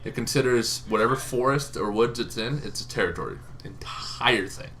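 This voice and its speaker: A man hosting a tabletop gaming channel, explaining casually off the cuff in an informal, halting way, as if thinking aloud. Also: medium pitch, a bit bright. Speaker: host of a tabletop roleplaying lore channel. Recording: noticeable background noise.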